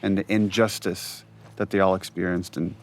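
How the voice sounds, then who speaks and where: A man's voice: deep voice, little pitch movement, normal speed, a little hiss or hum. A man giving conversational director's commentary, explaining things in an analytical way.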